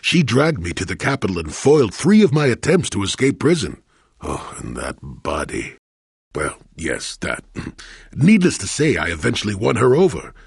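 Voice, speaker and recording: Cantankerous old game mentor, very husky, low-pitched, wry, rueful, rambling storyteller. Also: male voice, no room sound, clean studio sound, heavily acted. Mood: wistful, wry. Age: older. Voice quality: gravelly, husky. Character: gruff, rueful, cantankerous old male former Jedi. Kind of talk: scripted video game voice acting.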